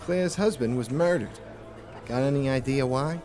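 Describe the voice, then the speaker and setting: A man playing a hardboiled private investigator in a noir audio drama, terse and deadpan, with music behind him. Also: medium pitch, moderate pace, natural voice. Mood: dry, probing. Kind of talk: noir radio drama with first-person narration.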